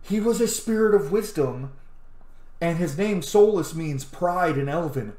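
A man's voice: on the high side, animated, unhurried, mellow. A man in his thirties giving casual let's play commentary, thinking aloud as he plays a role-playing game. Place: indoor home room. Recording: echoey room, game music behind.

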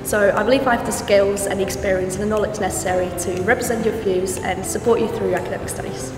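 A woman's voice: medium pitch, steady tone, fast talker, recorded from a distance. A woman in her early twenties talks confidently and fluently to camera. She sits back from the mic in an echoey room. Music plays underneath. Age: 20s. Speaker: election candidate. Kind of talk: short campaign statement to camera. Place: university TV studio.

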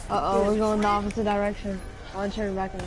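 Brief: woman gamer on a headset mic, bossy co-op callouts, loud game music behind. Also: medium pitch, monotone. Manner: bossy, quick directions to a partner. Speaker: co-op gamer on a livestream. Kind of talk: casual gaming chat.

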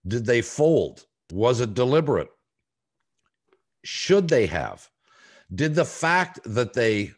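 Older man with very low voice. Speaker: sports podcast host. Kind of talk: opinionated sports talk. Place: home room on a video call.

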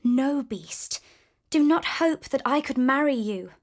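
A woman voicing a young, gentle heroine who is kind and earnest, speaking softly and often hushed. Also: high-pitched, lively intonation, slow, full character voice.

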